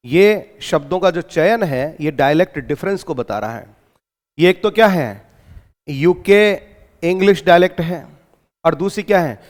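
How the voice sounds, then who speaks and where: A man with an Indian accent giving an exam-coaching lecture, reading English lines slowly and explaining them in Hindi with teasing asides. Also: on the high side, in a large hall.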